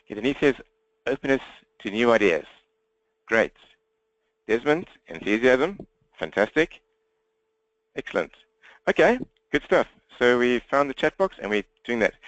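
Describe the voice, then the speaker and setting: A man from South Africa with a South African accent and a slightly croaky throat, presenting an interactive webinar training while standing at his computer, fast, direct and upbeat. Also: medium pitch, fairly bright tone, no echo, highs cut off.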